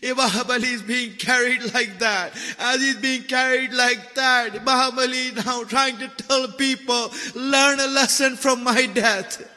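A man's voice: very high-pitched, steady tone, measured pace, hardly any pauses, sharp tone. A man delivering an emotional mourning sermon as dramatic storytelling, plaintive and impassioned, repeating phrases for emphasis. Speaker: religious lecturer, preacher. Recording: long reverberant hall echo.

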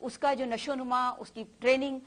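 A woman doctor, a guest in an interview, explaining earnestly and pausing now and then. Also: high-pitched, steady tone. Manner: earnest, explanatory, some hesitation.

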